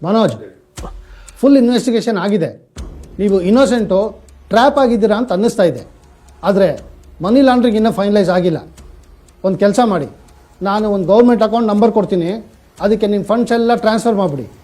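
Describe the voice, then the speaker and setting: A man's voice: sits high, fairly quick, pauses often, mellow. A man playing a fake senior police officer, a manipulative and condescending scammer in an awareness skit. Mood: coaxing, official. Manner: condescending, authoritative.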